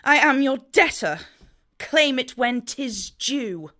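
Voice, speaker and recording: A woman playing a coy, quick-witted young Trojan lady in a Shakespearean audio drama. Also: high-pitched, lively intonation, bright, forceful tone, full character voice. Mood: coy, teasing.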